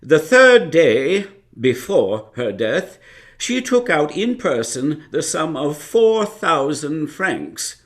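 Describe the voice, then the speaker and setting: An actor in a live radio drama playing a formal, halting male banker giving testimony. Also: on the high side, big pitch swings, a bit bright, heavily acted. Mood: formal, hesitant. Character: eldest son banker, formal, hesitant male witness.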